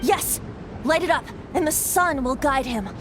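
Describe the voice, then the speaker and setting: A woman's voice: high voice, a little slow, noisy background. A woman playing an earnest, mystical young female guide in a video game, speaking with solemn poetic purpose. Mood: urgent hope. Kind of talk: dramatic video game acting.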